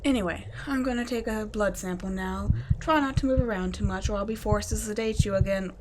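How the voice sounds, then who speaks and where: Woman doing a cold vampire scientist lady, soft whispery taunts, talks down to you, faint hiss behind, mid pitch. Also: a little fast, close to an everyday voice. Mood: clinical. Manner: soft, often whispered, mocking and condescending. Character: cold, teasing female vampire scientist captor. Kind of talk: ASMR roleplay audio drama.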